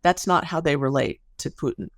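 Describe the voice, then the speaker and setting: A woman's voice: deep voice, expressive, moderate pace, dry recording, clean studio sound. A woman speaking as an expert interview guest, explaining things in an articulate, analytical way. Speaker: interview guest, sociology researcher.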